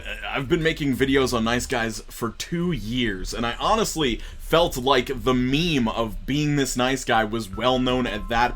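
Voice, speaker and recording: A man's voice: fairly high pitch, a bit bright. Man giving casual, opinionated commentary over music. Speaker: guest video commentator. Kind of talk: casual commentary, reading online posts aloud.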